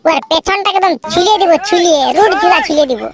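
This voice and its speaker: Man voicing a squeaky brash village lad. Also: fast, a loud crowd. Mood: eager, brash. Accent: Purulia Bengali. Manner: boastful, slangy, exclamatory. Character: brash, grumbling young village man, comic stick figure. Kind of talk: comic cartoon dialogue.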